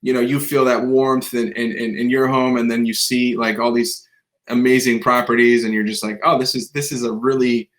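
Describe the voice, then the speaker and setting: A man's voice: mid-range voice, level tone, normal speed, a bit bright. A man hosting a podcast interview, talking in a loose, rambling way with many restarts. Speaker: podcast host. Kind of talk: conversational interview.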